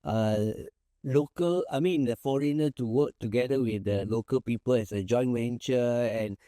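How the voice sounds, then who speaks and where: A man's voice: medium pitch, moderate pace, warm tone, no room sound, studio quality. A Malaysian man, a small firm's managing partner, answering interview questions in an easygoing, rambling way with many fillers and restarts.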